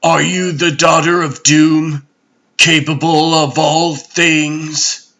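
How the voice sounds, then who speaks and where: Comic-dub tyrant played by a man: brooding god-emperor, forceful bright tone, very slow grave delivery. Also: a little high, level tone, vocal fry, exaggerated voice. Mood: stern. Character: imperious, brooding male god-emperor and stern father. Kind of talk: dramatic comic dub acting.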